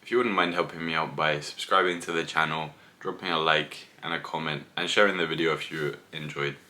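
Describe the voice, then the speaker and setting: A man's voice: extremely low pitch, fairly even intonation, a little fast, pushed and bright. A man hosting a podcast, talking casually as he interviews a guest. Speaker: podcast host. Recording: roomy echo, distant mic, faint noise.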